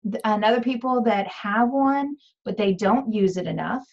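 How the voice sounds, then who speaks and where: Female webinar host, mid-pitched, casual moderator, slightly muffled video-call sound. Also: lively intonation, moderate pace, creaky, darkish tone. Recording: dull video-call audio.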